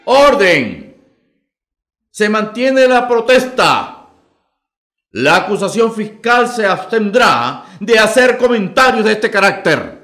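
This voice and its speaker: An actor playing a stern, authoritative judge in a radio courtroom drama, solemn and formal. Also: male voice, high-pitched, big pitch swings, takes it slowly, pauses often, a bit bright, soft background music, full character voice. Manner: solemn, commanding. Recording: roomy, echoing sound.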